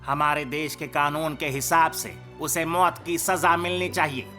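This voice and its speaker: A man's voice: fairly high pitch, steady tone, a little slow, pushed and bright, faint music behind. A man voicing a smug, scheming male court villain in a cartoon dub, with an insinuating, theatrical way of talking. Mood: sly, menacing.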